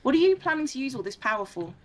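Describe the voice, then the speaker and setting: A woman's voice: high-pitched, big pitch swings, a little hiss or hum. Southern English woman, hesitant. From England. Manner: hesitant, halting with fillers. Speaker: game player. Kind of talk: casual gameplay chat.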